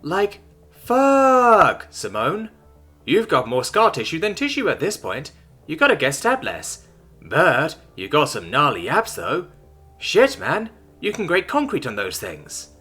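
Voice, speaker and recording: A man's voice: a little high, huge pitch swings, a little slow, bright, forceful tone, husky, soft background music, voice pushed up, full character voice. A man voicing a laid-back robot nurse android that talks in surfer slang. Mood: chill bro. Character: cheerful bro-talking robot male nurse android.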